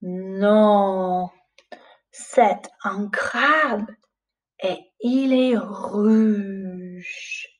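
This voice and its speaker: A woman in her forties teaching young children through a picture book, speaking in a carefully paced, expressive way. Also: mid-range voice, far from the mic. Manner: slow, clearly paced, with expressive questioning intonation. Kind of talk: storytime lesson for young children. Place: small plain room indoors.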